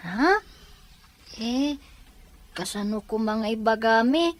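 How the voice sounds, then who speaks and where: A woman's voice: on the high side, slow. A woman playing a puzzled, hesitant child in an Ilocano audio drama.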